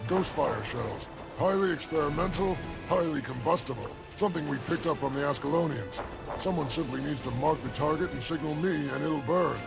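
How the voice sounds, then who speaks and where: An actor playing a sly, gravelly beast munitions expert who relishes destruction in a video game. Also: male voice, a little high, brisk, rough recording. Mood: relishing. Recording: music behind.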